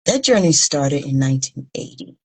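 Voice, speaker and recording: A woman in her fifties from Liberia, speaking as an interview guest. Her voice is exceptionally low, with a heavy creaky fry. She answers conversationally and earnestly, pausing between phrases. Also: very animated, darkish tone. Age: 50s. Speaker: interview guest, humanitarian founder.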